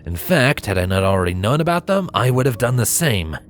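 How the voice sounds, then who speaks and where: A man playing a gentle but firm pony husband in a story reading, earnest and given to sighs. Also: medium pitch, sing-song, fairly quick, voice pushed up, close to an everyday voice. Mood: reproachful. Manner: soft-spoken, sighing, earnest.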